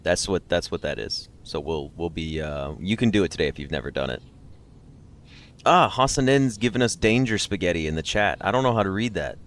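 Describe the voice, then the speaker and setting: A man's voice: deep voice, expressive, brisk, a bit bright. A man hosting a livestream, chatting casually with viewers in a rambling, joking, slangy way. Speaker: livestream host. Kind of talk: casual chat with live stream viewers.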